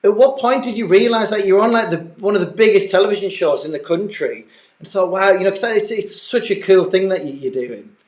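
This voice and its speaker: A man's voice: high voice, a little fast. Man in his forties, echoey room. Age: early 40s. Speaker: interviewer. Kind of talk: casual chat interview.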